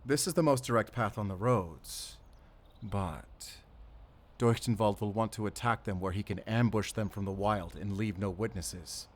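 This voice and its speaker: A man's voice: fairly low pitch, measured pace, slight background noise, lightly acted. A man playing a determined, defiant investigator on the run in a cinematic audio drama, speaking with intensity. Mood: focused, deliberate. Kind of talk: cinematic audio roleplay acting.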